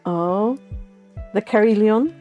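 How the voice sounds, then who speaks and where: A woman's voice: mid-range voice, takes it slowly, warm tone, highs cut off, faint music behind. Non-native woman author reading her story, then casual chatter full of laughs. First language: not English. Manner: laughs often, restarts sentences.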